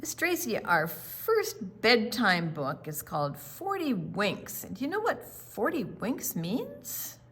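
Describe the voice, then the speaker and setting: A woman's voice: mid-range voice, huge pitch swings, slow, hardly any pauses, a bit bright, husky. A woman reading a picture book aloud to young children, expressively, with emphatic words and little explanations along the way. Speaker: children's storytime reader.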